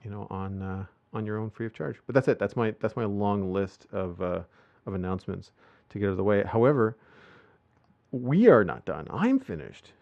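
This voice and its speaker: Male podcast host, gravelly and rambling, on dull lo-fi audio. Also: lowish voice, big pitch swings, moderate pace. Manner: rambling, many fillers. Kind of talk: casual podcast chat.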